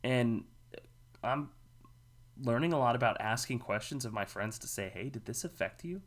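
A man co-hosting a casual church discussion, speaking in an earnest, emphatic way and rising in pitch to stress his points. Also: expressive, normal speed. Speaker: podcast co-host, minister.

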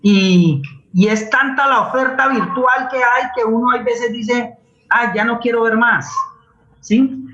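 A Colombian man speaking as a guest in an impassioned conversational interview, halting and repeating words as he searches for them. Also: very high voice, fairly even intonation, sharp tone. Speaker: guest playwright and actor. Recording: dull lo-fi roomy online call.

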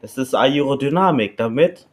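Halting young man from Japan. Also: medium pitch, expressive. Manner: halting, searching for words. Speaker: podcast guest. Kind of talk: casual podcast chat.

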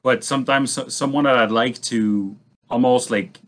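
A man in a casual discussion, speaking haltingly and thinking aloud as a panelist. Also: medium pitch, fairly even intonation.

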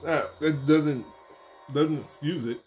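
A man's voice: a little high, slow, darkish tone. A man from New York with a New York accent, chatting and bickering playfully with his partner while they watch a film. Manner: playful, bickering, mock-tough. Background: film soundtrack music.

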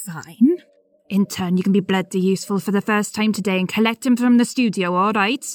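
A British woman playing a bossy, exasperated senior political staffer in an audio comedy, brisk and dry. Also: mid-range voice, steady tone, close to an everyday voice. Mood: brisk, commanding. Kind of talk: audio comedy drama acting.

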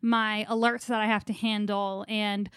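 A woman's voice: medium pitch, level tone, normal speed, fairly bright tone, clear, resonant tone, dry recording, studio quality. A young woman co-hosting a casual podcast, talking at length in a rambling, anxious way. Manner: chatty, rambling, anxious storytelling. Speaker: podcast co-host. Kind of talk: casual chat.

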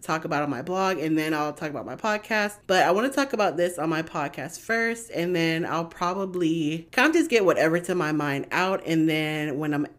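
A woman's voice: sits low, lively intonation, moderate pace, hardly any pauses. A woman in her twenties hosting a podcast on her own, talking in a rambling, chatty way with lots of "like" and "you know.". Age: 20s. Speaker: podcast host. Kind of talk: casual solo podcast chat.

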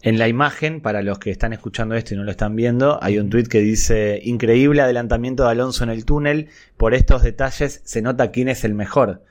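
A man's voice: medium pitch, brisk, hardly any pauses, a little mellow. Casting: co-host, man with an Argentine accent, playful and teasing. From Galicia, Spain. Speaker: podcast co-host. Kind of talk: casual podcast chat.

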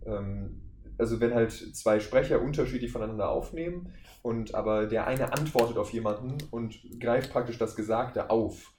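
A man's voice: medium pitch, normal speed, a little mellow. A man speaking as a podcast guest, explaining things casually and at length in a discursive, circling way. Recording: echoey room, faint background noise.

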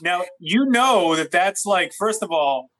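A man's voice: high voice, expressive, unhurried, fairly bright tone. A man co-hosting a podcast in casual, teasing banter with his friends. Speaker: podcast co-host.

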